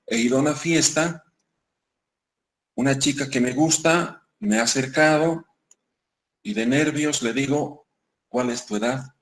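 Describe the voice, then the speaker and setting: A man teaching a university math class, who repeats each point, keeps checking in with the students and slows down on the key definitions. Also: medium pitch, rough recording. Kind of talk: classroom lecture.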